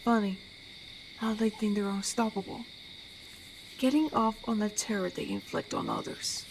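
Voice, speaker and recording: A woman's voice: medium pitch, big pitch swings, creaky, background noise. A woman playing an amiable, teasing werewolf who protects a forest, speaking in an intimate, conversational roleplay drama. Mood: darkly playful. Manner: unhurried, teasing, conversational with pauses. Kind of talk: roleplay audio drama, intimate one-to-one address.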